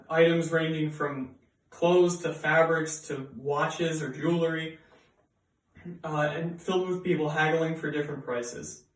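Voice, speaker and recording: A young man, raised speaking English and Persian, presents a scripted educational video in an earnest, explanatory way with occasional fillers. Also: on the high side. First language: bilingual, raised speaking English and Persian. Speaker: student presenter. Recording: roomy echo, mic at a distance.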